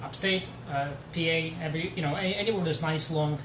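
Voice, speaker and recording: A man's voice: fairly high pitch, fairly even intonation, brisk. A man from Ukraine, a guest on a casual stream, talking hesitantly and repeating words as he goes. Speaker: guest, car owner. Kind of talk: casual chat. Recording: echoey room with background noise.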